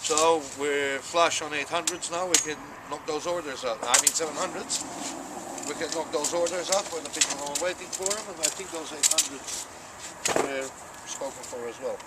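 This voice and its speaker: A man's voice: fairly high pitch, moderate pace, very noisy. A man co-hosting a casual unboxing chat outdoors, talking easily and listing items as he unpacks them. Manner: chatty, lists items as he unpacks. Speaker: archery shop co-host.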